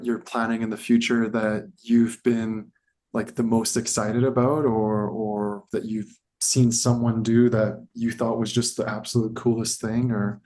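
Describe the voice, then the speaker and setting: A man's voice: medium pitch, darkish tone. A man co-hosting a podcast interview whose first language is French, talking in a rambling, hedging way. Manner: rambling, hedging with fillers and restarts. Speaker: podcast co-host. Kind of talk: casual conversational interview.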